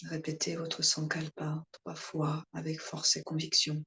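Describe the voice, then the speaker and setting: A woman yoga instructor guiding a relaxation meditation in calm, soothing short phrases with pauses. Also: low-pitched, a little hiss or hum.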